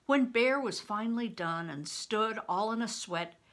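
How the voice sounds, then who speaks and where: An older woman narrates a story as a grandmother would read it aloud. Her pace is slow and deliberate, and her tone is bright and clearly projected, with expressive phrasing. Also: on the high side.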